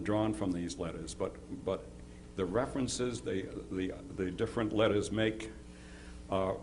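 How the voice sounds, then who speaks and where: A man giving an informal historical introduction as presenter, halting and often repeating words as he goes. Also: lowish voice, darkish tone, slight background noise. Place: live presentation before an audience.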